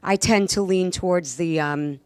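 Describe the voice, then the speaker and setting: Female comic from New York, low voice, dry close sound, lively and jokey. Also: clear, resonant tone, dry recording. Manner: lively, laughing, quick asides. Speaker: comedian guest. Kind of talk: casual radio chat.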